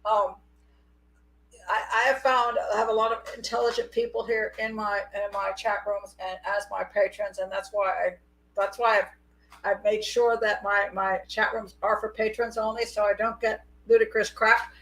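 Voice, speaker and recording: A middle-aged woman hosting a live call-in show, chatty and quick to laugh. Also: mid-range voice, slight background noise, low-quality recording. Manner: chatty, laughs often, animated. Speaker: host. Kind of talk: casual live call-in chat.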